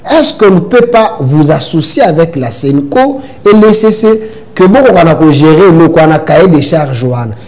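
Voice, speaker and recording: A man's voice: fairly high pitch, lively intonation, normal speed, a little mellow. A man hosting a talk show, congested from a head cold and pressing his guest with probing questions.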